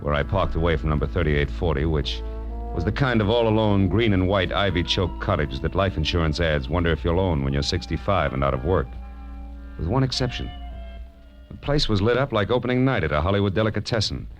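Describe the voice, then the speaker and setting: An actor playing a wry, world-weary private detective in a 1940s radio drama, dry, hard-boiled and wisecracking. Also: male voice, sits low, lively intonation, a little fast. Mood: wry narration. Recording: dull vintage radio recording with music.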